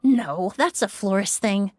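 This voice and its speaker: An actress playing a composed young perfumer in a video game, speaking in a measured, formal, matter-of-fact way. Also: female voice, mid-range voice, animated, lightly acted. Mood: composed, thoughtful. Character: composed, precise young female perfumer, polite and analytical.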